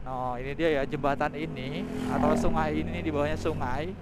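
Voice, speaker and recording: A man's voice: fairly high pitch, steady tone, fairly quick. Chatty man narrating from a moving motorbike. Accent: Sundanese. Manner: chatty, pointing out sights along the road. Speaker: motovlogger. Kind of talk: casual narration while riding. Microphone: helmet or bike-mounted action camera. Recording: wind and road noise.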